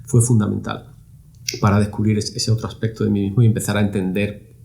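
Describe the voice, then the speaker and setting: Man, middle-aged, from Spain, gravelly low voice, dark tone, thoughtful, repeats words mid-sentence. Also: little pitch movement, a little fast. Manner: thoughtful, repeats words while searching for phrasing. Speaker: university lab director, guest. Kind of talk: reflective interview answers.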